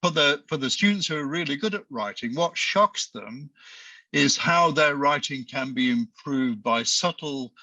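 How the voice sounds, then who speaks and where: Sharp-toned professor, very dry sound. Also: male voice, fairly high pitch, measured pace, dry recording, dull audio. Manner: animated, emphatic, with frequent restarts. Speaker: business school professor. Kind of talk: panel discussion on a video call.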